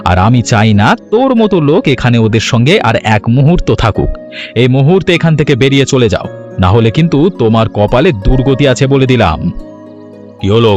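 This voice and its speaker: Man acting a bossy, very animated village uncle. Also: mid-range voice, natural voice. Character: bossy village uncle, protective, blunt with wrongdoers, generous to kin. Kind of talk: cartoon story voice acting.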